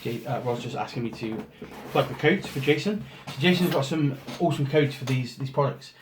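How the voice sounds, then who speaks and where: Man, hoarse, rambling unboxing chat, roomy echo, faint hiss. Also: mid-range voice, a little fast. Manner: rambling, many ums and restarts. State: hoarse from a cold. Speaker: photographer doing an unboxing. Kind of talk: casual product walkthrough.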